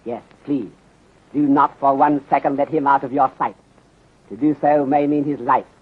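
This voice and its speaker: A man's voice: medium pitch, fairly even intonation, close to an everyday voice. Man playing a courteous Chinese detective, muffled vintage radio. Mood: polite, instructing. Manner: formal, deliberate, clipped phrasing dropping small words. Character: courteous, shrewd, methodical Chinese male detective inspector. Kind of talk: 1930s radio serial acting. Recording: muffled, husky-sounding 1930s radio recording.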